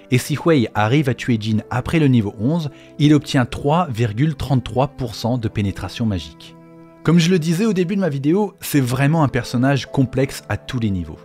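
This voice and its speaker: A man's voice: medium pitch, a little mellow. A man narrating a scripted explainer as the host of a video on game lore. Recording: faint music behind the voice. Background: faint background music.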